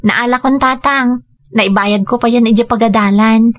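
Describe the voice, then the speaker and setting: A woman's voice: a little high, fairly even intonation, normal speed, close to an everyday voice. A woman playing an earnest young student daughter, hurt on her father's behalf, in an Ilocano-accented Filipino radio drama style. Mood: troubled, hesitant. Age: young adult.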